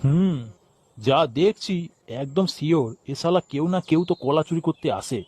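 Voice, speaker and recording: A man's voice: on the high side, normal speed, dark tone, husky, faint music behind. A man with a West Bengali accent voicing an irritable, foul-mouthed village banana farmer in a comic cartoon. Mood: indignant. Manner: grumbling, swearing.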